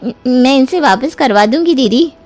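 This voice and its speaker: A woman's voice: high voice, animated, normal speed, a little mellow. A woman voicing a sly, meek young woman in a cartoon moral story, hesitant and wheedling. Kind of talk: animated Hindi moral story dialogue. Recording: music behind the voice.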